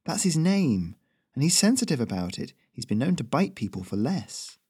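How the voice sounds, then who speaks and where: A man's voice: big pitch swings, normal speed, mellow, breathy edge. A man voicing a cheeky young male courier who rides a messenger goose, talking in a breezy, teasing way. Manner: breezy, teasing, high-spirited.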